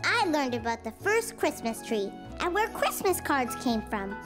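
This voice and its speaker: A woman voicing an excited, sweet four-year-old boy in a preschool cartoon, with an eager childlike manner. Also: high voice, measured pace. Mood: sweet, proud. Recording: music behind the voice.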